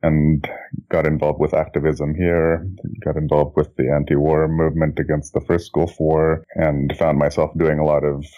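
A middle-aged man from Indonesia, a guest telling his life story in a relaxed, reflective way, often restarting his sentences and chuckling. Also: rumbling low, normal speed. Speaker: guest, children's book author and graphic designer. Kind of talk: relaxed interview conversation. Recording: dull lo-fi audio.

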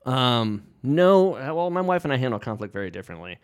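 A man co-hosting a podcast who talks quickly and at a loud volume. He is outgoing and direct, and he speaks his mind plainly in casual conversation. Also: medium pitch, sing-song, clean tone.

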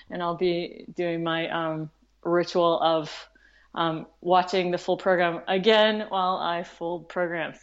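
Lowish-voiced enthusiastic woman. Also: level tone, slow, highs cut off. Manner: enthusiastic, giggly. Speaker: podcast panellist, film screening organiser. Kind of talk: casual chat.

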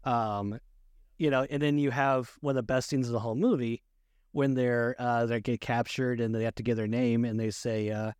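A man's voice: mid-range voice, steady tone, moderate pace, a little mellow, smooth, dry recording. A jocular man co-hosting a casual movie chat, rambling along and often amused. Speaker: podcast co-host.

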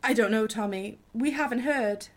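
A woman's voice: fairly high pitch, moderate pace, close to an everyday voice. A woman playing a worried wartime mother in a radio play, speaking plainly and with concern. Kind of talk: amateur radio play acting.

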